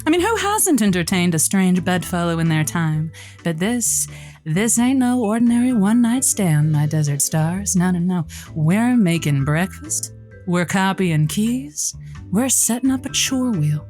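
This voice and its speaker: A woman's voice: fairly low pitch, animated, measured pace, a little mellow, faint music behind. An actress playing a flirty, motherly late-night lonely-hearts radio host in an audio drama, teasing and theatrical. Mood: flirty, reassuring. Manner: teasing, theatrical, folksy endearments.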